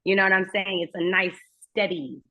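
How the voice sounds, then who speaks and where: A woman's voice: mid-range voice, level tone, moderate pace. Earnest woman with German as her first language. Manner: earnest, personal, talks in long flowing runs. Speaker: guest astrologer. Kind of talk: casual conversation. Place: remote, while traveling. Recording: muffled lo-fi remote audio.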